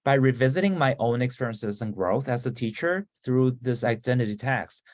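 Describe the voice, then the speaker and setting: A man presents at an academic symposium in a formal, reflective tone. His delivery sounds read from a prepared script. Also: mid-range voice. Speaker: doctoral student presenter.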